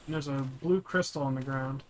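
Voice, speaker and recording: A man's voice: mid-range voice, fairly quick, a little hiss or hum, rough recording. A man giving casual, blunt, sweary gameplay commentary, describing what is on screen as he plays. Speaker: host of a descriptive game playthrough. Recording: loud game music behind the voice. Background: game music.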